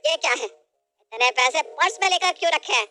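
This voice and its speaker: A woman's voice: high voice, expressive, moderate pace. A woman voicing a stern, scolding middle-aged mother-in-law in a storybook tale. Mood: concerned. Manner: scolding, sharp and demanding. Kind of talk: storybook character voice.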